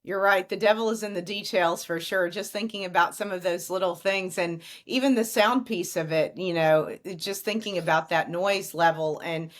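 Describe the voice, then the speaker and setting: A woman with a slightly low voice hosts a casual interview podcast. She asks her questions plainly and attentively, adding short replies while her guest talks. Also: normal speed, few pauses.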